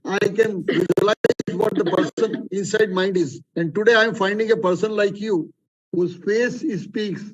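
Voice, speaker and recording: A man's voice: high voice, steady tone, a little slow. A man making impromptu complimentary remarks in an emphatic, repetitive way, picked up by a distant, dull microphone.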